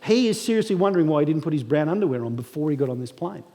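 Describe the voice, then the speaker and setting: A man's voice: on the high side, lively intonation, very fast, mellow. A man in his thirties giving a funny, self-deprecating motivational speech at a graduation ceremony. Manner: humorous self-deprecating storytelling. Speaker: guest speaker. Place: large hall at a graduation ceremony. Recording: echoey hall reverb.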